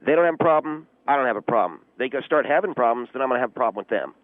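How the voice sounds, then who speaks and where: A man's voice: medium pitch, animated, rapid-fire, close to an everyday voice. A man voicing a cocky, dim, stubborn self-appointed auxiliary policeman on a comic call-in radio show. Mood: insistent. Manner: stubborn, repetitive, officious. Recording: dull phone-like radio line.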